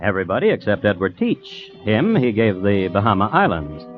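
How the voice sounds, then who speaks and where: An actor playing a wry, droll storyteller, narrating a pirate radio play with tongue in cheek. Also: male voice, lowish voice, sing-song, moderate pace, faint music behind. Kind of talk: old-time radio scripted narration. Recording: tinny, phone-like old radio recording.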